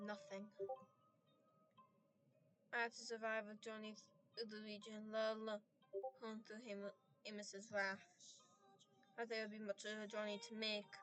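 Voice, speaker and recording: A woman with a raspy voice reading a game's story aloud, often stumbling and restarting words, with small apologetic asides. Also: mid-range voice, low-quality recording. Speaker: game narrator reading story text aloud.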